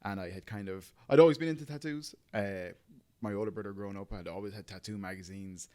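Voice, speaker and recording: An Irish man in his thirties talks fast in a casual podcast chat. His voice sits low, and his sentences wander with plenty of filler as he goes. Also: warm tone. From Ireland. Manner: rambling, full of fillers like "you know". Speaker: guest, tattoo artist.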